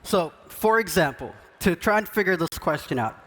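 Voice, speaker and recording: A man with a fairly high voice gives an earnest, encouraging talk from an event stage. He co-hosts a podcast and paces his points with care. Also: lively intonation, moderate pace, a bit bright, low-quality recording. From Sudan. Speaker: podcast co-host speaking on stage.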